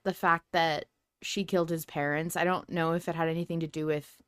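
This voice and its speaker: A woman with a clear, resonant voice on the lower side hosts a casual fan discussion. She thinks out loud with informal emphasis. The sound is close and dry, with very little room in it. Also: level tone, a little fast, no echo. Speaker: podcast host.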